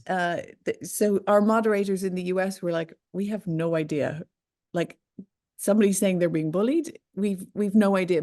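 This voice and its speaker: A woman's voice: mid-range voice, warm tone. A woman with an Irish accent, answering interview questions earnestly and with emphasis, often repeating words for stress. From Ireland. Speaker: interview guest.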